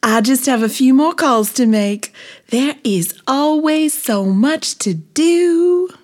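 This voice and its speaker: A fussing, busy lady bee from a children's storybook, acted out by a woman. The voice is high and sweet, stretching words out affectionately while sounding forever harried. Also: lively intonation, slow, darkish tone, full character voice.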